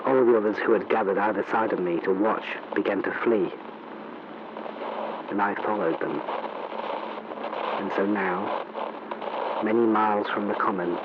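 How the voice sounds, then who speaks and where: A man's voice: fairly low pitch, fairly even intonation, natural voice. An actor playing a grave, shaken male war reporter giving a solemn, formal eyewitness account in a 1930s radio broadcast style. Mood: grim, urgent. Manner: solemn, deliberate, formal narration. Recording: noisy, muffled vintage radio recording.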